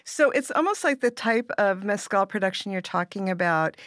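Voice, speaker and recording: A woman's voice: mid-range voice, lively intonation, normal speed, fairly bright tone, dry recording, studio quality. A woman hosting an interview, asking questions and making curious, appreciative remarks while tasting. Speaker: host.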